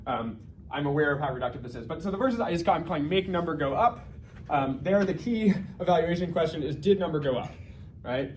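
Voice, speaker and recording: A man's voice: on the high side, expressive, fast, a little hiss or hum. A man giving an informal research talk, thinking aloud conversationally with self-corrections and asides.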